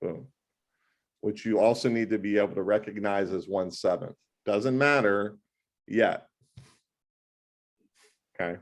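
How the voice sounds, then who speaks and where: A man tutoring math one-on-one over a video call, talking loudly in an animated, joking way. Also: lowish voice, a little slow, long pauses. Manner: loud, animated and jokey.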